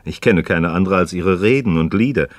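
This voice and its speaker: A man's voice: deep voice, a little fast, dry recording, natural voice. An actor playing an inquisitive, skeptical man in a radio play, speaking articulate, rhetorical in philosophical dialogue. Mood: skeptical, challenging.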